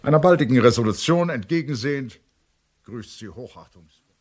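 A man's voice: medium pitch, animated, normal speed, a little mellow, natural voice. A man playing a stern, indignant writer of an official complaint, reading it formally and emphatically in an audio drama. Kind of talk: audio drama, letter read as monologue.

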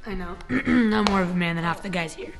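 A woman's voice: lowish voice, brisk, vocal fry, over music. A young woman running a challenge game, teasing and jokey. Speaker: challenge host. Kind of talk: casual chat, running a game. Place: bright living room indoors.

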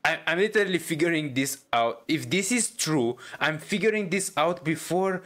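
A man giving casual gameplay reaction commentary as a streamer, musing aloud and haltingly. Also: fairly high pitch, animated, a bit bright. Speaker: game streamer.

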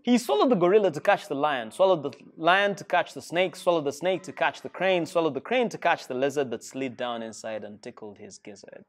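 A man's voice: on the high side, expressive, brisk, hardly any pauses. A middle-aged man with a Ugandan accent reading a rhyming picture book aloud to children in a playful, encouraging way. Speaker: storyteller reading to schoolchildren. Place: indoor school reading room with seated children.

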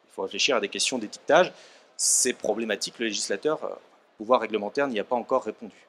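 A man speaking as a panel guest at a trade fair interview, measured and halting, often pausing on fillers, into a handheld mic. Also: lowish voice, a bit bright. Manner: measured, hesitant with many fillers. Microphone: handheld microphone.

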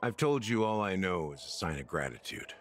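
A male actor playing a weary, remorseful middle-aged officer in a video game, speaking slowly and broodingly with heavy pauses. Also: sits low, very animated, slight background noise. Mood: weary, sincere. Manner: slow, brooding, measured delivery with heavy pauses. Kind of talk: scripted video game dialogue.

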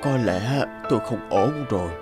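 A man voicing a stern but kindly old father in an animated folk tale, speaking slowly and deliberately. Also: fairly low pitch, music in the background, close to an everyday voice. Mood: weak, solemn. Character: stern old father, testing and finally kind.

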